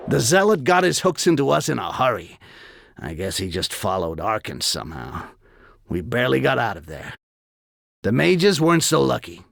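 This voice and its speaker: A man voicing a cynical, foul-mouthed goblin mercenary in a video game, gravelly and sardonic. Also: mid-range voice, a bit bright, no room sound, exaggerated voice. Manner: sardonic, streetwise, crude. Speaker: character narrator.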